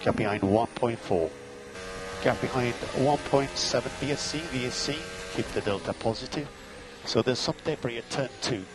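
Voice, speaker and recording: A man's voice: medium pitch, normal speed, background noise. A man working as a race engineer, giving clipped, matter-of-fact instructions and gap updates over team radio, often repeating key points.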